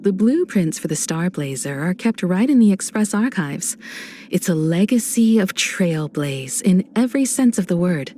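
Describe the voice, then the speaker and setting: An actress voicing a composed adult woman space traveler in a video game, a warm mentor who speaks in a measured, reflective, gently teasing way. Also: on the low side, animated, a little hiss or hum, close to an everyday voice. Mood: wistful. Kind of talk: video game character voice lines.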